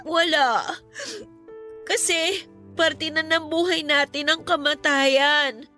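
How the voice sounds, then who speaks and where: A gentle young Filipina sister in a radio drama, earnest and sorrowful as she consoles. Her voice is high and very bright, over faint music. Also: fairly even intonation, slow pace, full character voice. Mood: tearful, consoling.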